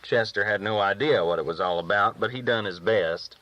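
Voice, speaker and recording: Man, audiobook storyteller, sharp bright tone, folksy rural phrasing, slightly muffled lo-fi sound. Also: on the low side, moderate pace. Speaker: audiobook reader. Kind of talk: animated first-person storytelling, reading a novel.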